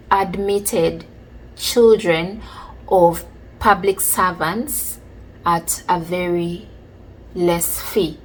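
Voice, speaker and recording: A woman, casually telling stories from her childhood in a chatty, relaxed way. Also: on the low side, slight background noise, low-quality recording. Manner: chatty, relaxed, many fillers like "like" and "you know". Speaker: vlogger. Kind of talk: casual storytelling about childhood.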